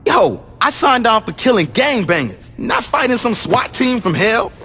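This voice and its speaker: A man voicing an excitable, exasperated street gangster in a video game, talking in slangy, incredulous complaints. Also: very high voice, moderate pace, gravelly, husky, faint music behind, full character voice. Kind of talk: video game cutscene acting.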